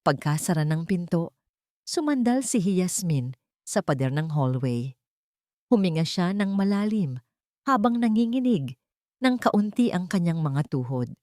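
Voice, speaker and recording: A woman with a Filipino accent narrating a dramatic story as an audiobook, phrasing it deliberately in short, suspenseful beats. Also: on the low side, big pitch swings, mellow, dry recording, studio quality.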